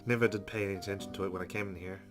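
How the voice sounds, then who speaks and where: A man's voice: sits low, fairly even intonation, rapid-fire, music in the background. A man reading visual novel narration aloud as a let's play streamer, with casual asides.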